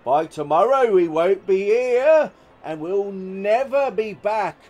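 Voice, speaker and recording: A man's voice: high voice, lively intonation, slow pace, darkish tone, faint music behind, pitched above the performer's own voice. A man voicing a sinister, wheedling fairground showman who coaxes children with a mocking sing-song. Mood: gleeful.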